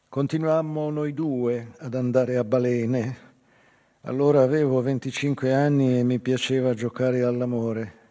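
An actor as a husky, melancholy old whaler reminiscing. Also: male voice, mid-range voice, dark tone, close to an everyday voice. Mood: somber. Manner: measured, reflective, theatrical storytelling. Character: melancholy old Azorean whaler recalling his youth. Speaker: actor in a radio theatre monologue. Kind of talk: literary dramatic monologue. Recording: reverberant stage hall, guitar music behind. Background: live guitar music.

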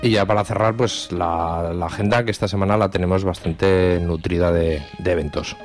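A male radio co-host with a fairly low voice and an accent from Spain. He speaks informally in halting phrases into a studio microphone, with faint music behind him. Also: steady tone. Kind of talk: casual live radio chat.

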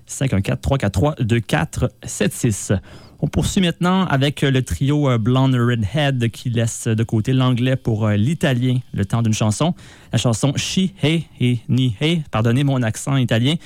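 A man's voice: medium pitch, darkish tone, no echo. Hesitant man hosting a radio show. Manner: hesitant, many filler sounds and restarts. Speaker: radio host. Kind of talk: live radio music show links. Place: radio studio. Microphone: studio microphone.

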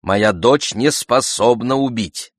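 A stern, protective mother in an audiobook, performed by a man. The voice is raised in pitch, emphatic and scolding, with curt indignation. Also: slow pace, no room sound, clean studio sound. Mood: firm. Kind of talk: audiobook character voice.

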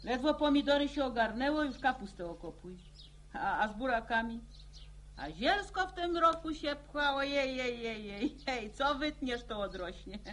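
An actress playing a pious middle-aged village widow, folksy and full of sighs and exclamations in a radio drama. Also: female voice, sits high, expressive, normal speed, fairly bright tone, a little hiss or hum. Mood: sighing, weary. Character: sighing, pious widowed village farm woman.

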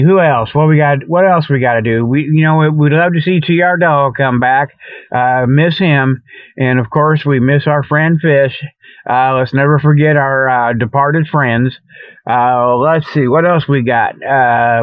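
A man's voice: a little high, no room sound, phone-quality sound. A man hosting a jokey talk show, rambling casually in a folksy, colloquial way. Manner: jokey, chuckling at own tall tales. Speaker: host of a comedic paranormal talk show. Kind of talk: rambling casual solo monologue.

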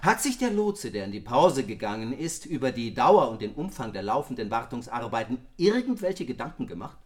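Male actor, insistent questioner, radio play, medium pitch, very varied intonation. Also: very animated, a little fast, close to an everyday voice. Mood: pointed, probing. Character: insistent male court questioner, probing and pointed. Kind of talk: German radio play acting.

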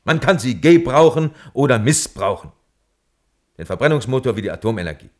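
An actor playing a coolly pragmatic nuclear physicist giving detached, dry, assured testimony in a German radio play. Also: male voice, mid-range voice, very animated, fairly quick, close to an everyday voice. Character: coolly pragmatic male nuclear physicist defending weapons tests.

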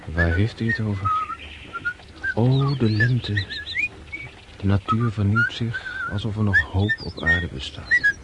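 A man's voice: on the low side, huge pitch swings, exaggerated voice. An actor playing a disillusioned, world-weary nobleman in a radio drama, speaking in a brooding, bitter way. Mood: weary irony. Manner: brooding, slow, bitter delivery. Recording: very noisy old radio drama recording.

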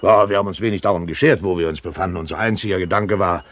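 A man's voice: low-pitched, very fast, a bit bright, dry recording. An actor playing a jovial, sly middle-aged one-legged ship's cook with folksy, wheedling seaman's patter in a radio play. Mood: sly, evasive. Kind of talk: 1970s German radio play acting.

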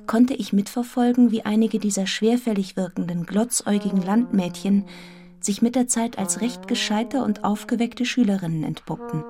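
An actress playing an earnest young village schoolmistress who tells her story in a measured, articulate radio drama narration. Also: mid-range voice, lightly acted. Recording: music behind the voice.

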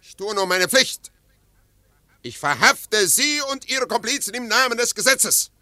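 An actor playing a cool, matter-of-fact undercover detective in a radio play. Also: male voice, sits high, lively intonation, a little slow, bright, forceful tone, no echo, full character voice. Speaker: secretary revealed as detective.

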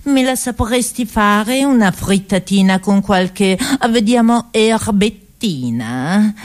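A woman puts on a refined master chef for a radio play. He is haughty and affected, a man who speaks slowly and savours every word with condescension. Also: mid-range voice, lively intonation. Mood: condescending, distracted.

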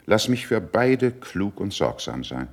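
An actor playing a cool, cautious, middle-aged statesman in a classical German verse radio play, measured, dry and admonishing. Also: on the low side, very animated. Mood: reserved, cautious. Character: cool, worldly, cautious older statesman, sceptical and superior.

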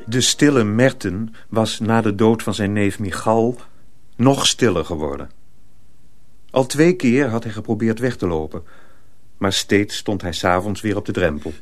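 A man narrating a radio drama in a measured, literary storytelling manner. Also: fairly low pitch, close to an everyday voice.

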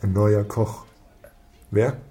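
Impatient, pushy police detective. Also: male voice, on the low side, fairly even intonation, takes it slowly, mellow. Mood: impatient, sarcastic. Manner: sarcastic, demanding. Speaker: actor. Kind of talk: naturalistic radio crime drama acting.

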